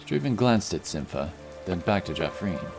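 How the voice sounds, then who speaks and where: A man's voice: low voice, very animated, moderate pace, vocal fry. A man reading scripted fantasy story narration as the narrator of an audio drama. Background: music.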